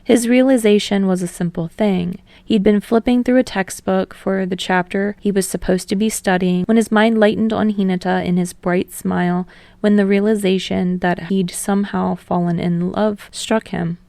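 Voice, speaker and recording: A woman's voice: mid-range voice, fairly even intonation, moderate pace, gravelly, dark tone, dry recording. A woman reading fiction aloud as a scripted audiobook narrator.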